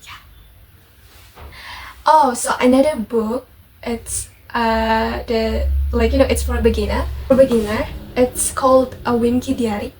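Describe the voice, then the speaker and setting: A woman's voice: a little high, steady tone, slow pace, a little mellow. A young Indonesian woman with an Indonesian accent, chatting casually in a vlog, full of fillers and self-corrections. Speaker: vlogger. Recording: distant mic with faint background noise.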